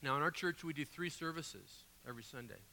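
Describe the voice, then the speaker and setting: A man preaching as a guest pastor, his voice raspy from a cold, telling stories in an animated, conversational way. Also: on the high side, fairly quick, slight background noise. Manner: animated, conversational storytelling with asides. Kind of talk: sermon. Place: church sanctuary. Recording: long hall reverb.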